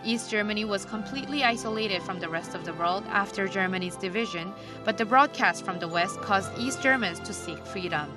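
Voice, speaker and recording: A woman's voice: medium pitch, a little fast, few pauses, music in the background. A woman reporter reading a scripted news feature narration.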